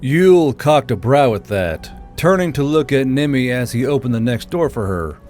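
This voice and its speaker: A man's voice: medium pitch, animated, moderate pace. Guy narrating a fantasy audiobook, medium voice, light music behind him. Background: soft background music.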